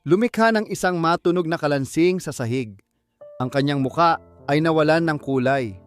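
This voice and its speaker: Higher-voiced man narrating dramatic stories. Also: normal speed, clean tone, soft background music. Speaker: audiobook narrator. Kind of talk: dramatic scripted storytelling.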